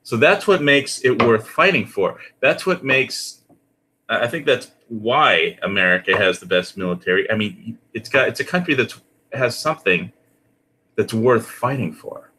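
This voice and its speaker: A man talking casually and ramblingly, in a halting way that often repeats and restarts phrases. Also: mid-range voice, lively intonation, pushed and bright, a little hiss or hum.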